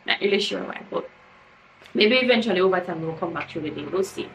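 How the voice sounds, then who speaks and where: A young woman with a Jamaican accent, slightly hoarse, rambling through her day in a casual home vlog with many ums and quiet trailing endings. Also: on the low side, lively intonation, quick pace, dull audio, a little hiss or hum. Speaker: vlogger. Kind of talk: casual daily vlog narration. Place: at home, bathroom. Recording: echoey room, voice a bit far from the mic.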